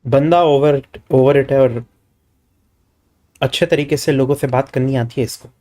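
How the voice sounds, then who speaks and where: A man's voice: medium pitch, steady tone, racing, warm tone. A man with an Indian accent giving casual, joking gaming commentary as a live streamer. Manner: switches between Hindi and English, joking asides.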